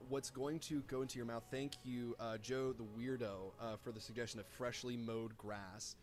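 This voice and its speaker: A man runs a tabletop game live as its game master. He improvises the narration as he goes, often halting to search for the next word. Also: medium pitch, steady tone, crowd noise.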